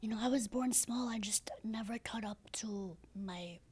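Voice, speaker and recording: A woman in her thirties with a low, raspy, breathy voice, speaking softly and hesitantly as a podcast guest, with many fillers and restarts. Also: normal speed. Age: 30s. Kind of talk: casual interview talk.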